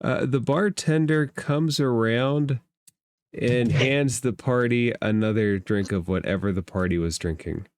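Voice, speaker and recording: Slow-paced man running a tabletop game. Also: mid-range voice, darkish tone, no echo. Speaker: game master. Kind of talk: narrating a tabletop role-playing game.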